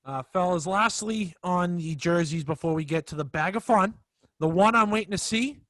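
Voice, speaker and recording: A young man hosts a casual sports podcast, easygoing and chatty as he reads sponsor spots to his friends. His voice sits high, and the recording is close and dry. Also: normal speed, a bit bright, no room sound. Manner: laid-back, addresses the others as fellas, reads sponsor spots. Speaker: podcast host.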